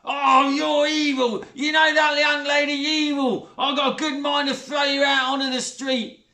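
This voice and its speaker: Man playing a shrill, brash stepmother. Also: sharp tone, distant mic, heavily acted. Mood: furious. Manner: shrill, brash, drawn-out oohs. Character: loud coarse spiteful middle-aged stepmother. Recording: roomy echo.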